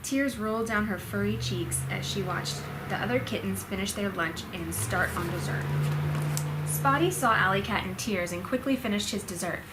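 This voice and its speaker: A woman's voice: mid-range voice, moderate pace, very noisy. A woman storyteller reading a children's storybook expressively to her child.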